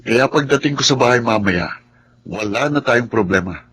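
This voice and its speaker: A man's voice: mid-range voice, expressive, fairly bright tone, husky. A man playing a scheming, greedy villainous son in a Filipino radio drama, smug and gloating as he talks. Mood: smug, plotting. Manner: slow, gloating delivery.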